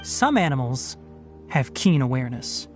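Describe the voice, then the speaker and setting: A man voicing a young forest ranger scholar in an anime game, a calm, exacting mentor who speaks precisely and matter-of-factly. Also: mid-range voice, moderate pace, soft background music, lightly acted. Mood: analytical. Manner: composed, precise, matter-of-fact, instructive.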